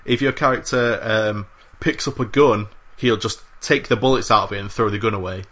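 A man's voice: on the low side, normal speed, a bit bright. A man co-hosting a casual games podcast, talking with enthusiasm, with lots of fillers and the odd swear word. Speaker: podcast co-host. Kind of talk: casual chat.

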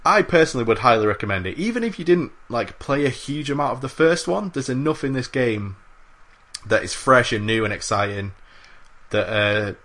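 A man's voice: medium pitch, lively intonation, normal speed, a bit bright. A man co-hosting a casual games podcast, talking with enthusiasm, with lots of fillers and the odd swear word. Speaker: podcast co-host. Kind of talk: casual chat.

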